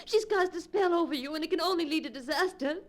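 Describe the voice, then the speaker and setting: An actress playing an anxious, pleading wife in a ghost story, with earnest melodramatic 1940s radio acting on an old broadcast recording. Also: female voice, very high voice, fast talker. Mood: pleading, alarmed.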